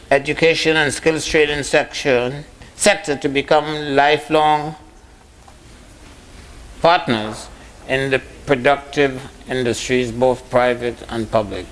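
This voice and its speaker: A man's voice: a little high, fairly bright tone, low-quality recording. A man chairing a question session, courteous and lightly joking. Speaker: moderator.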